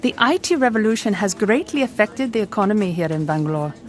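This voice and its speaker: A woman's voice: medium pitch, expressive, quick pace, a little hiss or hum, lightly acted. A woman playing a polite, earnest young IT worker, speaking formally in a scripted role-play interview. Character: young adult female IT worker in Bangalore.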